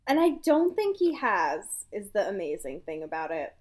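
A woman co-hosting a podcast in casual chat, giggling often and trailing off softly at the ends of sentences. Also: mid-range voice, sing-song, normal speed. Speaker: podcast co-host.

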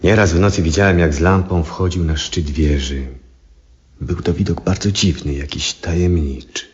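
In a dull vintage radio play recording, an actor plays an aging astronomer, a brooding man with compassion. His voice is extremely deep, and he delivers his monologue in an earnest, measured, reflective way. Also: lightly acted. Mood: pensive reminiscence. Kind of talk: 1970s Polish radio drama monologue.

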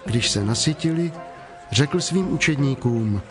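A man narrating scripture as a measured storyteller from a script. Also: mid-range voice, animated. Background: prominent music.

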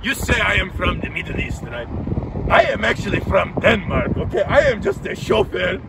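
A man's voice: high-pitched, sing-song, normal speed, vocal fry, distant mic, exaggerated voice. A man playing a put-upon foreign chauffeur, talking defensively in stilted phrases. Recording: very noisy, windy, off-mic.

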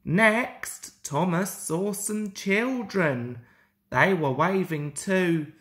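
A woman reading a children's story aloud in an expressive, deliberate way. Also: fairly low pitch. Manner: slow, deliberate, animated delivery. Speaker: storybook reader.

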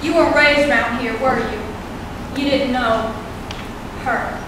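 A rueful, plain-spoken farm wife in a stage drama, acted by a young woman. Her voice is high and earnest, with folksy phrasing. It comes from far off, very echoey, over clear noise. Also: fairly even intonation, slow. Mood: regretful, reflective. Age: young adult. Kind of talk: amateur stage play acting. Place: small theatre stage, filmed from audience. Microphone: distant camera.

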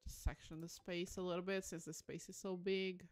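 A woman's voice: lowish voice, level tone, moderate pace. A woman livestream host giving casual game commentary, musing aloud with enthusiastic exclamations.

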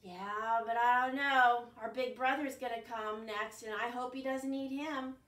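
A woman's voice: on the high side, fairly even intonation, measured pace, fairly bright tone. A woman doing a worried little billy goat. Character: small young male billy goat, worried. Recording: roomy echo, distant mic.

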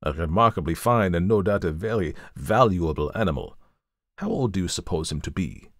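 A man voicing a genial, sharp-witted gentleman detective who speaks in a measured, courteous way. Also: low-pitched, expressive, no echo, clean studio sound. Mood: calm, courteous.

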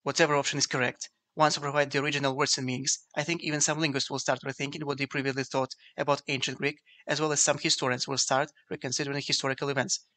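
A man who grew up speaking Ukrainian reads a scripted history lecture in a measured, explanatory tone. He talks briskly and keeps his pitch level. His voice is bright and forward. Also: flat delivery. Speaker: video essay narrator.

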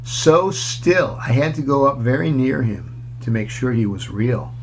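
Guy in his 60s reading a kids book out loud, slow n very expressive, bit of noise in the background. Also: mid-range voice, low-quality recording. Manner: expressive, playful, inviting listeners along. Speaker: children's storyteller. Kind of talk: picture book read-aloud with casual asides. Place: small room at home, indoors.

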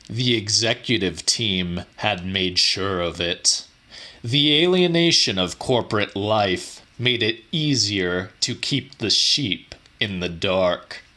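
Slow, bright-voiced male audiobook narrator. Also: fairly low pitch. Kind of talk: dramatic scripted narration of weird fiction.

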